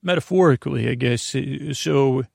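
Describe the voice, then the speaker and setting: A man's voice: medium pitch, normal speed, creaky, darkish tone, no echo, studio quality. A man hosting a sleep podcast, rambling in a casual, easygoing way and often stumbling over his words. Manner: easygoing, companionable.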